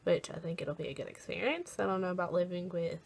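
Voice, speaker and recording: A young American woman chatting casually in a vlog, often pausing as she tries to recall things. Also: on the low side, vocal fry, slightly rough. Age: young adult. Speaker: college program applicant vlogger.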